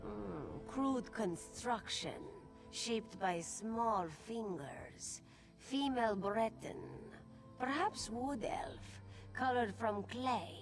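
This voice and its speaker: Slow-spoken, humble cat-woman. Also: on the high side, lively intonation, gravelly, over music, heavily acted. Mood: studious, musing. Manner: terse, deliberate, analytical, speaking in third person. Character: humble Khajiit cat-woman guild helper, measured and inquisitive. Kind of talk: video game character dialogue.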